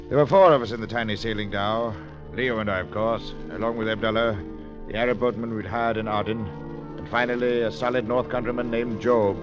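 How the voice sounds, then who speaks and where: Man doing an earnest gentleman explorer telling the tale, music under it, muffled old radio. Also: fairly low pitch, natural voice. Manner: measured, earnest storytelling. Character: cultured English gentleman adventurer, the narrator Holly. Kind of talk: 1950s radio drama narration and acting.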